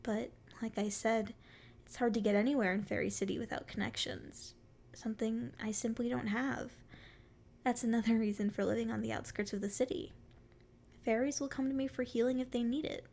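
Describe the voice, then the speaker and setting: Casting: female performer as a sweet, playful forest fairy for ASMR roleplay, very high voice with a creaky edge, teasing and curious. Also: fairly even intonation, a little fast, a little mellow. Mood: chatty, friendly. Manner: sweet, flirty, teasing endearments. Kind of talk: ASMR roleplay, addressing the listener.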